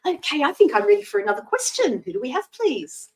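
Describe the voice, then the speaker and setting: A Scottish woman in her sixties giving a live tarot reading, chatting in a gentle, reassuring way and using terms of endearment. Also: sits high, huge pitch swings, quick pace, distant mic. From Scotland. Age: 60s. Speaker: psychic tarot reader.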